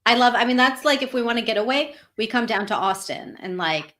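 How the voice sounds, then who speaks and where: A woman's voice: on the high side, animated, brisk, fairly bright tone. Earnest, candid woman coach. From US, Northern Virginia. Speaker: transformational coach, guest. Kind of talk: casual live interview.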